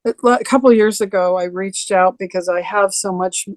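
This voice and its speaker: Older woman with a deeper voice. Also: fairly quick, a little mellow, no echo. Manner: rambling, many restarts and ums. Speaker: interview guest. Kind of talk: relaxed reminiscing over a video call. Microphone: video call.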